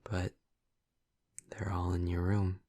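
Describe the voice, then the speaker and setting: A man playing a gentle, awkward, affectionate vampire in an intimate audio roleplay, speaking softly and breathily with hesitant, halting tenderness, close to the mic. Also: low-pitched, level tone, dry recording. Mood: shy, tender. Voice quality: soft, breathy, husky. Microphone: close, dry microphone.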